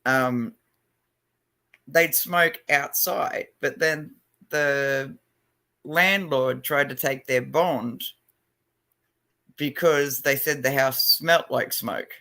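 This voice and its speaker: A man's voice: medium pitch, very slow, creaky, pauses often, bright, forceful tone. A middle-aged man chatting casually on a livestream, loose and profane, with lots of fillers. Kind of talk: casual chat.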